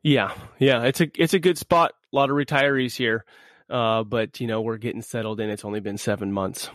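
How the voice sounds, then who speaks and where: A man's voice: medium pitch, fairly even intonation, a little fast, fairly bright tone, dry recording, studio quality. A man in his forties hosting a podcast, friendly and upbeat, in easy casual chat. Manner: upbeat, friendly, laid-back. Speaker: podcast host.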